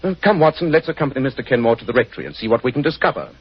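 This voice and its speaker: A shrewd detective in an old radio drama. He is wry, clipped and authoritative, with widely varied intonation and a husky edge, on a muffled recording. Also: mid-range voice, very animated, racing. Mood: brisk, intrigued. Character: shrewd, dry, retired English gentleman detective. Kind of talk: 1940s radio acting.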